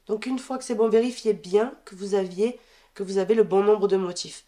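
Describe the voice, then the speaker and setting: A woman with a head cold gives a calm step-by-step crochet lesson, counting her stitches aloud as she works at a table. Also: medium pitch, normal speed. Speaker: crochet tutorial host. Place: indoors at a wooden table.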